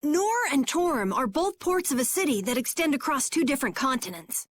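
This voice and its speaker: A woman voicing an excitable, boastful young boy in a video game, earnest and whiny, easily flustered. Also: sits high, brisk. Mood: explanatory. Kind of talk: English video game dub acting.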